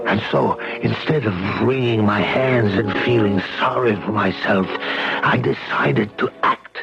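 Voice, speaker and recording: Brief: a bereaved, brooding father turned avenger, a middle-aged voice with measured, intense delivery, 1970s radio drama with music. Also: lowish voice, gravelly. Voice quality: slow, deliberate and intense. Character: grieving father, quietly set on revenge. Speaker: lead and narrator. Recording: dull old radio broadcast with music.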